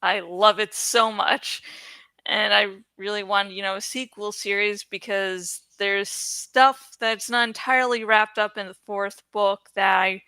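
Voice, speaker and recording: A woman's voice: mid-range voice, steady tone, a little slow, sharp tone, smooth, dry recording. A woman YouTuber talking casually to her viewers in a playful, rambling, self-deprecating way. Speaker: YouTuber who reads books aloud. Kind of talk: casual, chatty vlog talk to viewers.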